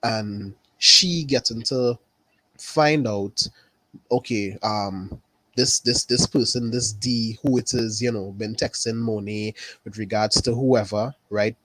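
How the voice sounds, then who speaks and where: A man from Trinidad with a Trinidadian accent, chatting casually about a TV episode, unhurried and repeating his phrases. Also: on the low side, fairly even intonation, fairly bright tone, no room sound, rough recording. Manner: unhurried, repeats phrases, says "really and truly". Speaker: caller.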